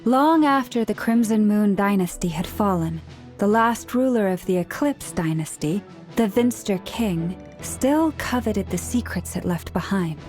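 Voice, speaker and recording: A woman's voice: medium pitch, lively intonation, unhurried, darkish tone, soft background music, close to an everyday voice. A woman voicing an earnest young woman in a video game, explaining things sincerely.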